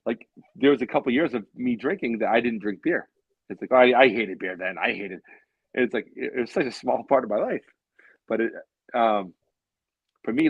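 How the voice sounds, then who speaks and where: A man's voice: mid-range voice, slightly rough, no echo. Dull-sounding man in his late thirties, chatting. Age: late 30s. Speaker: co-host of a beer hangout show. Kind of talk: casual chat.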